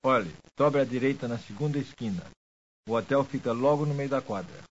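Deliberate male lesson reader, muffled recording. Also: mid-range voice. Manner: deliberate, clearly enunciated. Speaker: language drill reader and dialogue speaker. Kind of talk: scripted language-lesson reading. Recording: muffled lo-fi language tape.

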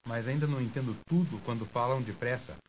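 A man acts as a polite American visitor in a scripted language lesson. He speaks at a middle pitch with careful, deliberate enunciation. The recording is dull, with faint tape hiss. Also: close to an everyday voice. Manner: deliberate, clearly enunciated, courteous. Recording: muffled hissy old language tape.

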